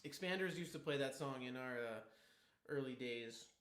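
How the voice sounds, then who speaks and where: A man in his thirties hosting a music livestream, chatting casually between songs in a rambling, relaxed way with many fillers. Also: medium pitch, moderate pace, reverberant.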